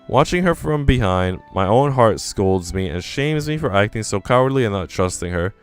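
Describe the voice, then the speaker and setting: A man's voice: fairly low pitch, expressive, normal speed, clear, resonant tone, faint music behind. A man reading visual novel narration aloud in a live, casual way, now and then restarting a line.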